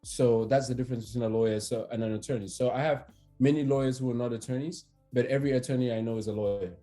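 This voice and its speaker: A man from Cameroon in his thirties, an immigration attorney speaking as a podcast guest, relaxed and hesitant with many fillers and restarts. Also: medium pitch, fast talker, soft background music. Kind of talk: conversational podcast interview.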